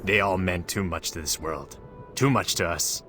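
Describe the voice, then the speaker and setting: An actor playing a solemn, regretful male divine being in a game, speaking in a measured, formal manner. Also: low voice, sharp tone, soft background music. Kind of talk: scripted game dialogue.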